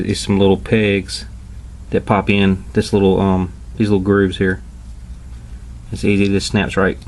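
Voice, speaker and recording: A man giving a casual, informal hands-on toy review, explaining step by step with hesitant pauses. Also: on the low side, darkish tone. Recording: clearly noisy home recording.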